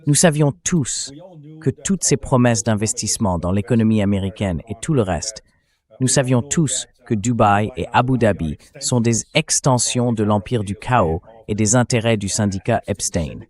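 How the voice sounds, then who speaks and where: A man's voice: medium pitch, darkish tone. A man giving opinionated political commentary as an interview guest, emphatic and fond of rhetorical questions, in a synthetic translated dub.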